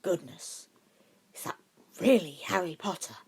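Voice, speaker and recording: A woman's voice: lowish voice, animated, a little slow, vocal fry, slightly rough, exaggerated voice. A woman voicing a nosy, easily astonished old male pub bartender in a story reading.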